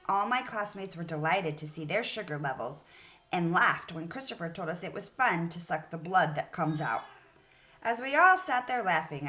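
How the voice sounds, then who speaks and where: A woman's voice: mid-range voice, fairly bright tone. A woman speaking as an elementary school teacher, reading aloud and explaining a lesson to young children, addressing them directly with questions.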